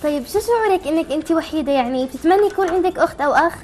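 A woman's voice: high-pitched, expressive, rapid-fire, darkish tone. A woman hosting a chatty live TV call-in, asking simple, encouraging questions. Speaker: TV show host.

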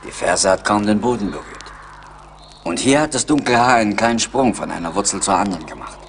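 Casting: young Mohican tracker in radio drama, solemn, measured, low voice, faint noise behind. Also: male voice, expressive. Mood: observant. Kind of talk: German radio play acting.

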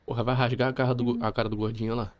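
A man's voice: medium pitch, a little hiss or hum. A young man with a Bahian Brazilian accent, chatting casually and swearing freely with friends while streaming a game on a headset. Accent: Bahian Brazilian Portuguese. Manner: laid-back, swears freely, banters with friends. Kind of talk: casual gaming livestream chat.

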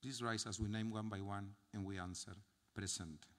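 An older man with an Argentine Spanish accent, a rabbi giving a solemn memorial sermon from notes, with measured phrasing and heavy pauses. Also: lowish voice, fairly even intonation, fairly bright tone. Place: large synagogue sanctuary. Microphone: gooseneck podium mic. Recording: long hall reverb from the sanctuary.

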